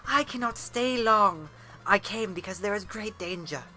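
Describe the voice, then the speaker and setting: A storyteller voicing a beautiful, fading woman who gives grave warnings in a halting, solemn manner. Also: mid-range voice, animated, sharp tone, faint music behind, pitched above the performer's own voice. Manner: halting, solemn, drawn-out delivery.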